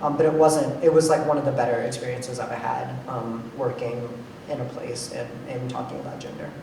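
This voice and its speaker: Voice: medium pitch, flat delivery, a little fast, gravelly. A nonbinary panelist with a masculine-sounding voice, talking casually in a hesitant way, with many ums and restarts. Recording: roomy, distant mic with noise.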